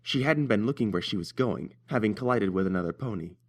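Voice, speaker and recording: Expressive male story narrator. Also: lowish voice, a little fast.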